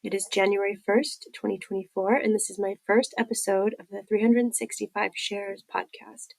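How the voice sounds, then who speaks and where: A woman's voice: medium pitch, fairly even intonation, moderate pace, gravelly, no echo, low-quality recording. A woman hosting a personal recovery podcast, congested from a head cold, talking candidly with many fillers. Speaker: podcast host.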